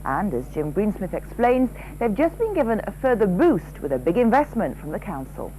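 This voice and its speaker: A woman presents scripted links on a news programme, her medium-pitched voice moving animatedly up and down. A faint hum sits behind her on the old broadcast. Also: big pitch swings, normal speed, warm tone, rough recording.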